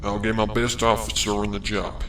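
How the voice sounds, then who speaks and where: A man voicing a skeptical pony sheriff in a fan fiction audio drama, with a slow, drawn-out delivery. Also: lowish voice, level tone, pushed and bright, far from the mic, slight background noise. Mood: conceding, firm. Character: skeptical pony sheriff, gruff male authority.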